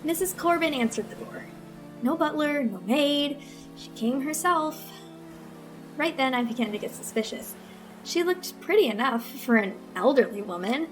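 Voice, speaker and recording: Woman playing a high-voiced, petulant teenage girl. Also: expressive, moderate pace, faint music behind, low-quality recording. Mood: wry storytelling. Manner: sulky, accusing, dramatic. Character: bitter, petulant teenage girl narrating her grievances. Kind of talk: old-time radio melodrama acting.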